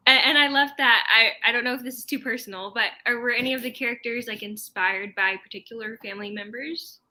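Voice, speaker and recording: A woman's voice: on the high side, brisk, bright, forceful tone, slight background noise, poor audio. A woman co-hosting a book club, chatting casually and enthusiastically, with lots of "like" in her sentences. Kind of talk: casual chat.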